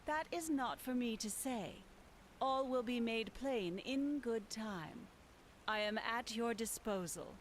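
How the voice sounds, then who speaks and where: Slow, prim manor housekeeper. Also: female voice, a little high, a little hiss or hum. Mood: prim, courteous. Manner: formal, unhurried, primly polite. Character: courteous, evasive female housekeeper of a mysterious manor. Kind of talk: scripted fantasy game dialogue.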